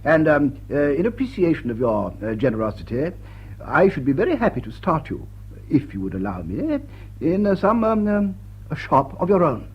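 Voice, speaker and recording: An actor playing an earnest, awkward male lord, halting and very formal, in a vintage drama. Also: fairly high pitch, big pitch swings, husky. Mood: shy, courteous. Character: stuffy, earnest, awkward titled gentleman. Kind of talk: vintage drawing-room drama acting. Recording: dull lo-fi vintage soundtrack.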